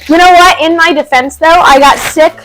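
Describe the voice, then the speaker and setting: A woman's voice: very high voice, fairly even intonation, normal speed, fairly bright tone, soft background music, poor audio. A young woman hosting a home DIY vlog, talking casually and rambling with lots of fillers, with a dry, wheezy cough. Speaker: DIY vlogger and host. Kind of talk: casual chat and talk-through of a DIY task. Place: home indoors.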